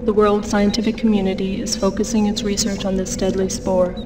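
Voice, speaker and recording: An actress plays a detached female bulletin announcer in a video game. Her medium-pitched delivery is even, measured and clinical. She sounds away from the mic, with noticeable noise. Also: lightly acted. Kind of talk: scripted bulletin narration. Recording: distant mic, clearly noisy.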